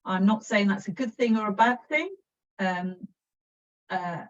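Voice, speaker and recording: A woman with an English accent, a council officer explaining a process in an informal presentation, steady and practical with frequent restarts. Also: medium pitch, unhurried. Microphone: video call. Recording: distant, dull video call audio.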